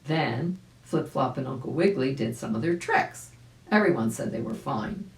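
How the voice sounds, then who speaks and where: An older woman around her seventies reading a children's story aloud, expressively and deliberately, indoors a few feet from the camera. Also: extremely low pitch, animated. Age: older, about 70s. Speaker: volunteer storybook reader. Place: small indoor room at home. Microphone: camera a few feet away. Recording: roomy home recording.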